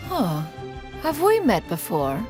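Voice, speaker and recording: An actress playing a proud, ancient immortal in a game, with a pompous, archaic, formal and theatrical way of speaking. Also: female voice, a little high, huge pitch swings, slow, music in the background, heavily acted. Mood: curious. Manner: pompous, archaic, calls herself "one". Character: proud, vain, ancient bird immortal in woman's form.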